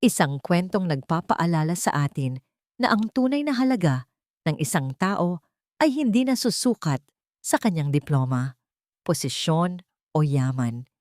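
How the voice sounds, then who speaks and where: Female audiobook narrator, Filipino accent, slightly low voice, dry recording, earnest and measured dramatic storytelling. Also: animated, a little mellow, no room sound.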